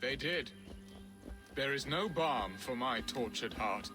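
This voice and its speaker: A man's voice: mid-range voice, expressive, takes it slowly. A man acting a melodramatic, self-deprecating Saxon lord in a video game, with flowery, wistful romantic speech. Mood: sombre. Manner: theatrical, flowery, musing speech. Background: game music.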